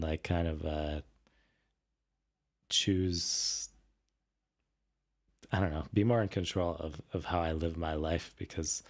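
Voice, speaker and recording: A man with a low voice chats casually as a livestream host. He sounds a little raspy and worn from being sick, and he thinks aloud in a hesitant, halting way. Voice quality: slightly raspy. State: voice tired after recent illness. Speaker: streamer host.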